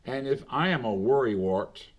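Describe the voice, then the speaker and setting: A middle-aged man delivering a slow, deliberate monologue with long pauses between phrases. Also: medium pitch, animated, dull audio. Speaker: commentator. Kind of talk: unscripted monologue.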